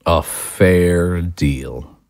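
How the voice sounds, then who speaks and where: A woman's voice: extremely low pitch, very slow, darkish tone, dry recording, clean studio sound, heavily acted. A woman playing an angry, possessive husband in an audio drama, indignant and confrontational. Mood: disbelieving. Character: angry, possessive husband and father in a marital fight. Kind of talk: screenplay-style audio drama acting.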